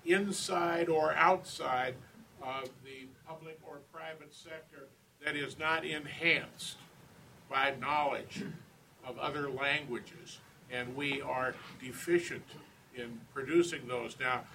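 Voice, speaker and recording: Bright-voiced man, distant from the mic. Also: medium pitch, steady tone, takes it slowly, slight background noise. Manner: earnest, advocating. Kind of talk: formal meeting remarks.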